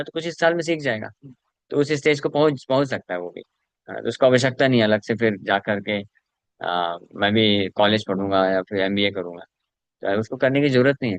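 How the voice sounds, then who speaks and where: A man's voice: medium pitch, no room sound. A man, a spiritual teacher, giving a devotional scripture lecture in an explanatory way, mixing in English terms and reciting Sanskrit verses.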